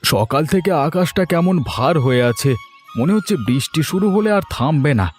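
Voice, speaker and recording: A man's voice: on the high side, animated, moderate pace, faint music behind, close to an everyday voice. A man voicing a chatty, status-conscious village trader in a cartoon folk tale, with theatrical delivery.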